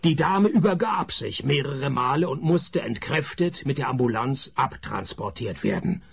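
An actor playing a cynical, wisecracking male detective who narrates his case in a radio play, dry and sardonic, with a gravelly voice. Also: mid-range voice, lively intonation, moderate pace, heavily acted. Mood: deadpan narrating.

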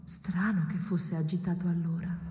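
An actress playing a brooding, nagging wife in a radio drama. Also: female voice, lowish voice, little pitch movement, normal speed, lightly acted. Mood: wistful. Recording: noisy, echoey, long reverb.

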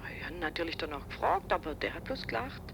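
A lively, bright female voice plays a wife on the telephone in a radio drama. She is chatty and probing, stumbling and repeating herself. There is faint noise around her. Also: fairly low pitch, fast talker, gravelly, heavily acted. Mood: nervous, insistent. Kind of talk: radio drama acting, phone dialogue.

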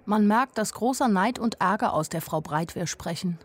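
A woman's voice: mid-range voice, animated, normal speed, natural voice. An actress playing a naive but observant young woman who tells her own story in a wry, plain-spoken radio drama narration.

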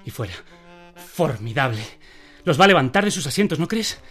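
Imperious Spanish theatre director, music behind. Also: male voice, on the high side, big pitch swings, normal speed, fairly bright tone, breathy edge, full character voice. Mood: commanding. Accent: Spain. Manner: curt, demanding, exasperated, repeats orders. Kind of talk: radio theatre acting.

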